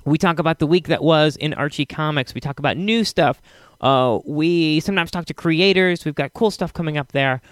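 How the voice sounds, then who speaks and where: A man hosting a podcast with a casual, halting, self-correcting solo introduction into a close microphone. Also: fairly high pitch, a bit bright, dry recording. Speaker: podcast host.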